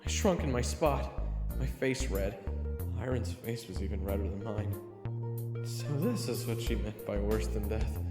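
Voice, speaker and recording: A man's voice: on the low side, a little slow, natural voice. A man playing an earnest, easily flustered young fantasy hero who also narrates in the first person, in an audio drama. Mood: uneasy narration. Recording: echoing hall reverb, music behind.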